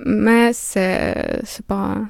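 A young woman from Ukraine, a student, answers slowly in short, halting phrases. Her voice has a gravelly fry and sits at an everyday pitch, in a dry, close recording. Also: fairly even intonation, darkish tone, no echo. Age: young adult. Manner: halting, laughs between phrases. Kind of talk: interview answers.

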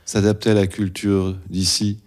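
A man's voice: fairly low pitch, level tone, normal speed, a little mellow. A man interviewing a young guest, asking probing questions and stepping in to challenge his answers.

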